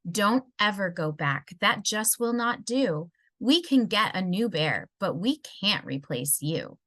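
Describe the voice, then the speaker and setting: A woman's voice: medium pitch, very animated, slow pace, a bit bright, dry recording, exaggerated voice. Storybook kid played by a woman: earnest, emphatic, protective of a friend, dry close recording. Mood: firm, caring. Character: child narrator, eager, pleading and bossily protective of friend.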